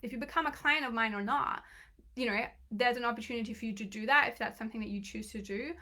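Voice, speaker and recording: Woman doing a live coaching session, bright kinda high voice, a bit croaky, lots of um and like. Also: fast. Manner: chatty, encouraging, many fillers and restarts. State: slightly croaky voice. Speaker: business coach. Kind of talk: live online training.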